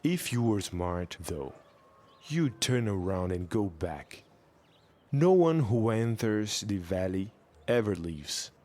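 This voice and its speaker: An actor playing a gruff, skeptical local villager in a jungle adventure audio drama, deliberate and ominous. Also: male voice, on the low side, sing-song, a little mellow. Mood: grave warning.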